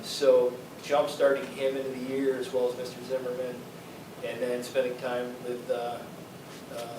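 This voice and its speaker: A man, a district student-support director, presenting to a school board in a casual, halting way with many fillers. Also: mid-range voice. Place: school board meeting room. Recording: echoey room, distant mic, noisy.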